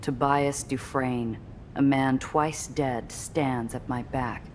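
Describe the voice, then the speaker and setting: A woman's voice: a bass voice, steady tone, takes it slowly, slight background noise. A woman playing a gritty, defiant adventurer in a video game, narrating her own peril in dramatic hardboiled noir style. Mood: tense, wry. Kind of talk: scripted hardboiled noir first-person narration.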